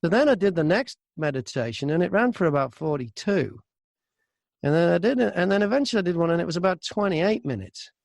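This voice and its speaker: A man's voice: high-pitched, lively intonation, quick pace, creaky, dry recording, studio quality. A man chatting casually as an interview guest, an audiobook narrator who tells stories by acting out both sides of exchanges. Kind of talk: casual anecdotal interview chat.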